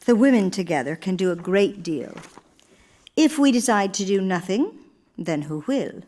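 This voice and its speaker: A woman playing an earnest, idealistic older First Lady in live radio theater, speaking in a measured, oratorical manner. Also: mid-range voice, animated, warm tone, close to an everyday voice. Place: live stage before a studio audience in a church.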